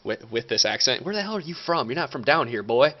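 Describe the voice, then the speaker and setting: A man's voice: medium pitch, big pitch swings, fast, lo-fi sound. A man from Michigan hosting a gaming podcast, chatting casually, teasing and laughing often. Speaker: podcast host. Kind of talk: casual chat.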